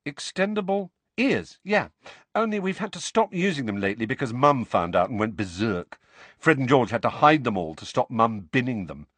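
A man's voice: mid-range voice, very animated, moderate pace, a bit bright, dry recording. A man voicing an awkward, eager teenage wizard boy in a storybook, casual and a little hesitant. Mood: eager, explaining.

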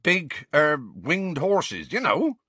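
A man's voice: a little high, wildly swooping pitch, takes it slowly, sharp tone, slightly rough, no echo, pitched above the performer's own voice, exaggerated voice. A man voicing a kindly, bumbling giant of a teacher with a hesitant, folksy manner. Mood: cheery. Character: kindly, gigantic rustic male teacher, cheerful and bumbling.

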